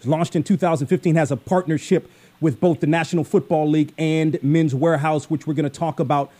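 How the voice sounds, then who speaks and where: A man's voice: fairly high pitch, fairly even intonation, brisk, warm tone. A man hosting a radio interview show, emphatic and enthusiastic as he asks his questions. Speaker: radio host.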